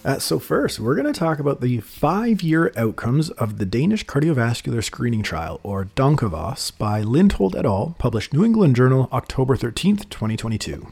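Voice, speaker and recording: A man's voice: medium pitch, normal speed, vocal fry, few pauses, slightly rough. A man, a physician, explaining research papers in a casual podcast chat, peppered with "you know". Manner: lively, explanatory, many you-knows. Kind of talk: casual medical journal discussion.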